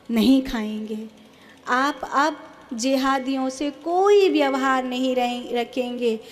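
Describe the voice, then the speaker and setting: A woman preacher speaks in a high voice at a slow, deliberate pace. She delivers a religious discourse to a congregation, exhorting them emphatically. She leans on repetition and rhetorical questions.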